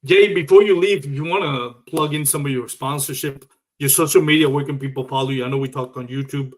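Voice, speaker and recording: A man's voice: fairly high pitch, fairly quick. A man from Puerto Rico hosting a casual live interview show, chatting in a conversational way. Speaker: show host.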